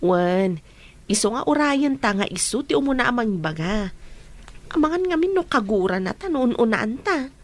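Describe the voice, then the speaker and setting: A woman's voice: a little high, expressive, normal speed. A woman playing a frank, skeptical young woman in a radio drama, who doubts and presses her friend. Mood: earnest.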